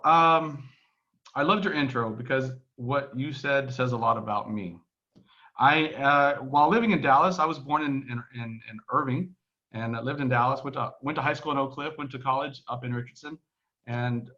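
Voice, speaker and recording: A man's voice: mid-range voice, normal speed, bright, forceful tone, dull audio. A man from Texas with a Texan accent, a podcast guest telling his story in a casual, rambling way with many restarts and fillers. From Texas, United States. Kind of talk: casual conversational interview. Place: home in Barcelona, on a remote call.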